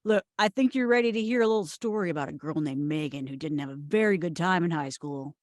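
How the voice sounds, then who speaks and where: A woman in a comedic script read, playing a blunt, pushy, oddball friend with swaggering confidence and emphatic, deadpan delivery. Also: sits low, fast, no echo, clean studio sound, close to an everyday voice. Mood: earnest boasting. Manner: emphatic, forceful, deadpan boasting. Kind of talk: screenplay table read, comedic acting.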